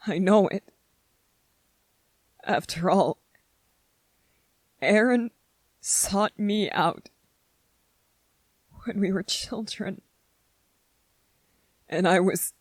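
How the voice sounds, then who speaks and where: A woman voicing an anxious, weary young male anime soldier in a halting ASMR roleplay monologue, pausing and repeating words. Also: fairly low pitch, dry recording. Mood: wary, doubting.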